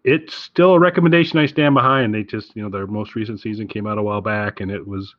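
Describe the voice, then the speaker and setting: Low-voiced man chatting on a podcast. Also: quick pace, dry recording, highs cut off. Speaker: podcast host. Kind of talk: casual recommendation chat.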